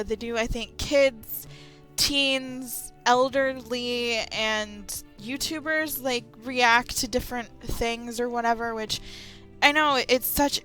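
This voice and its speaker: A woman's voice: on the high side, fairly bright tone. A woman giving casual, rambling opinion commentary as a YouTuber, with sarcastic asides. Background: light background music.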